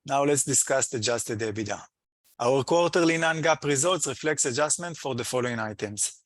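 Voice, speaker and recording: A man delivers a prepared financial presentation in a mid-range voice with a dry, close sound. His delivery is halting and hesitant, with slightly non-native phrasing, as he works through figures like a company executive. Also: mid-range voice, animated, no echo. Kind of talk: prepared earnings call presentation.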